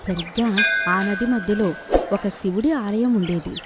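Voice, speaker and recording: A woman's voice: mid-range voice, measured pace, background noise. A woman storyteller giving scripted narration for a children's cartoon tale.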